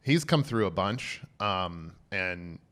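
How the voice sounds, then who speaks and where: A very low-voiced man of about forty sits in on a relaxed podcast chat as the guest comedian. He rambles along with plenty of fillers and laughs often. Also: slow pace. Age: 40s.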